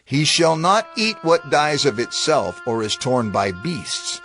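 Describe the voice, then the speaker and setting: An actor playing a solemn, instructive reciter of divine law in a formal, measured dramatized scripture reading. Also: male voice, medium pitch, sing-song, fairly bright tone. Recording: music under the voice. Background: music.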